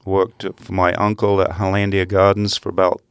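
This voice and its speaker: An older man who grew up in England, a podcast guest talking easily about his life in a relaxed, rambling way. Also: low voice, moderate pace, clean tone, no echo, clean studio sound. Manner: easygoing, rambling. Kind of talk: casual conversation.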